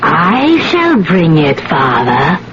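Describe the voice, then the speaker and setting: A woman's voice: low voice, wildly swooping pitch, takes it slowly, background noise, full character voice. An actress playing a sinister, knowing middle-aged peasant woman in a radio drama, ominous and emphatic. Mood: obedient. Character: sinister peasant woman, knowing and vengeful, mother of grown daughter. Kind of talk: 1970s radio drama acting. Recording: dull old radio recording, loud music.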